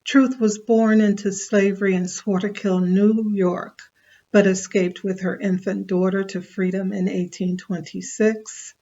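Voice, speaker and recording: A woman storyteller reading a biographical narration in a measured, even way. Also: medium pitch, lo-fi sound. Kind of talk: read-aloud biographical narration.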